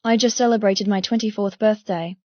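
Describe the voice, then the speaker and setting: A woman's voice: medium pitch, fairly even intonation, fairly quick, dark tone, no room sound, lo-fi sound. Woman narrating an audiobook, close and dry. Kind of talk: first-person dramatic scripted narration.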